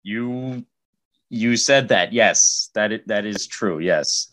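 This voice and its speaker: A man hosting a comedy podcast, speaking deliberately with dry politeness and some halting phrasing. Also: lowish voice, a bit bright. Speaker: podcast host. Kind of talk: podcast hosting with comic banter.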